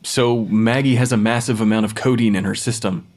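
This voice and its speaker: A man's voice: lowish voice, steady tone, a little fast, a little mellow, natural voice. A man playing a clever, slightly awkward forensic pathologist with an analytical manner, acted naturally for an audio drama. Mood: reasoning. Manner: analytical, hesitant when cornered. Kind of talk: naturalistic audio fiction podcast acting.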